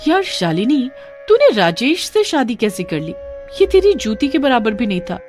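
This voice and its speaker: A woman's voice: a little high, sing-song, moderate pace, darkish tone, faint music behind, pitched above the performer's own voice. A woman voicing a snobbish, breezy young friend in a story, chatty and goading. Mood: scornful.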